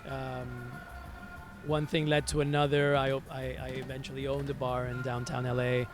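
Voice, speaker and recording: A man's voice: mid-range voice, flat delivery. Reflective Venezuelan man over background music. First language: Spanish. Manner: reflective, halting, repeats words as he searches. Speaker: interview guest, musician and hospitality entrepreneur. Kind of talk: conversational personal storytelling.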